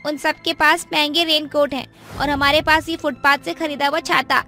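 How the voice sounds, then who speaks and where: A woman's voice: high voice, little pitch movement, moderate pace, sharp tone. A woman voicing a bratty, ungrateful daughter in a cartoon, whiny and scornful. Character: bratty, ungrateful schoolgirl daughter of poor mother. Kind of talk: cartoon voice acting. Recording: music under the voice.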